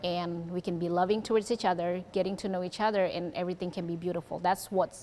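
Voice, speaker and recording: A woman's voice: lowish voice, steady tone, fast, darkish tone, slight background noise. A woman performer speaking earnestly and reflectively in a documentary interview. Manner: earnest and reflective, casual fillers.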